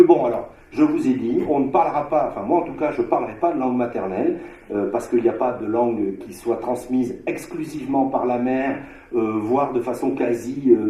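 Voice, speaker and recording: A man from Marseille giving an academic conference lecture, discursive, with frequent filler pauses and restarts. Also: mid-range voice, warm tone, echoey room. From Marseille, France. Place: lecture hall.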